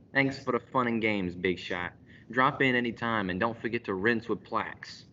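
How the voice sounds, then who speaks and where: A man's voice: on the low side, measured pace, sharp tone. A teenage man playing a cocky, sneering mob boss in a radio play, with a slangy wise-guy delivery. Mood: cocky, mocking. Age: teens. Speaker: school radio drama actor. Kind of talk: gangster radio-play acting. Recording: dull audio with faint noise.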